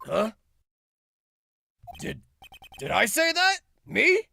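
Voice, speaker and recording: An eager, blustery detective, played by a man for a courtroom game fan dub. His high voice is gravelly and bright, barking out deferential answers and getting flustered easily. Faint music plays behind him. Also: wildly swooping pitch, drawn-out words, a little hiss or hum, exaggerated voice. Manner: barking, deferential, stammering when challenged.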